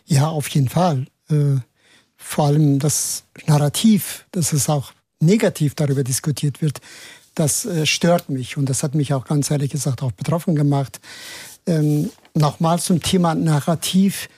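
A man's voice: fairly high pitch, unhurried, dark tone, breathy edge, no room sound. A man born in Turkey, a politician speaking earnestly as a guest on a radio discussion.

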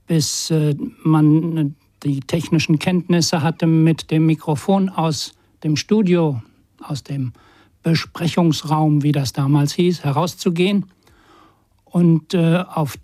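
A man recollecting in an interview style, halting, with pauses between his phrases. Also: on the high side, fairly even intonation, dark tone, dry recording, clean studio sound.

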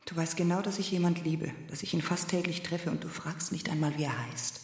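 A woman's voice: low-pitched, fairly quick, a little mellow, reverberant. An actress playing a restless, scornful unfaithful wife who provokes her husband in a German literary radio play, pointed and reproachful. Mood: reproachful, hurt. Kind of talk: German literary radio play, dialogue and first-person narration.

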